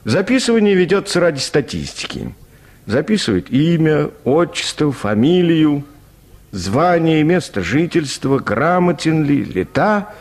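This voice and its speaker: A man's voice: a little high, darkish tone. A man narrating a literary short story for a Russian radio play.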